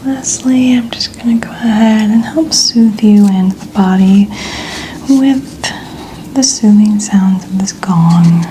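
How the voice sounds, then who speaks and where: A woman speaking in a breathy, soothing whisper, gently reassuring as she plays a chiropractor in a soft-spoken ASMR roleplay. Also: mid-range voice, takes it slowly, slight background noise, poor audio. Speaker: ASMR creator playing a chiropractor.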